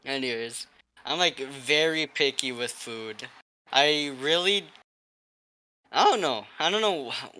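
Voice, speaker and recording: Young man, playful joker, swinging lively intonation. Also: mid-range voice. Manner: playful, joking, loud laughs. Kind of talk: casual chat.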